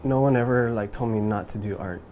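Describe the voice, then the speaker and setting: A man talking informally and haltingly about his own life in a casual interview. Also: on the low side, warm tone, slight background noise. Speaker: artist interviewee.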